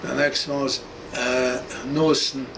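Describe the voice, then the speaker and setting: A man's voice: medium pitch, level tone. Elderly Hungarian-accented man, noisy recording. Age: 80s. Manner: brief, halting answers. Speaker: interviewee, Holocaust survivor. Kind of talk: recorded testimony interview. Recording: clearly noisy room recording.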